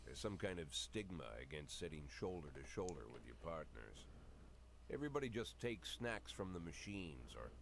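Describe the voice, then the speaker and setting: An actor playing a weary, cynical middle-aged police chief, narrating a noir-style video game story in a deadpan, brooding manner. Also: male voice, sits low, slight background noise, full character voice.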